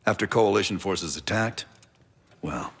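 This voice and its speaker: An actor playing a weary, cynical intelligence operative in a video game, gravelly voice and terse, deliberate delivery. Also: male voice, deep voice, fairly bright tone, heavily acted. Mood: measured, matter-of-fact. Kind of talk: cinematic game dialogue, low-key briefing.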